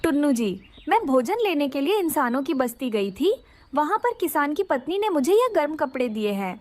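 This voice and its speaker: A woman playing a gentle, practical mother bird in a cartoon story, polite and earnest. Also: high-pitched, big pitch swings, moderate pace, dry recording. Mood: polite, grateful.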